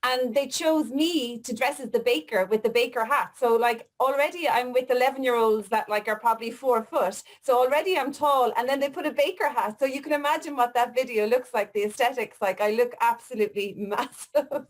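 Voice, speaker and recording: Higher-voiced Irish woman chatting. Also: a little fast, hardly any pauses. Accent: Irish, Limerick. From Limerick, Ireland. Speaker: interview guest, wellness coach. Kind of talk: casual personal storytelling.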